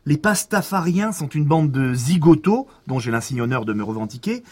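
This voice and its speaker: Flustered, husky, high-voiced Frenchman. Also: very animated, normal speed. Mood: earnest, defensive. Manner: hesitant, stammering, rambling. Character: flustered, chatty male eccentric suspect, proud prankster. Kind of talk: naturalistic French audio drama acting.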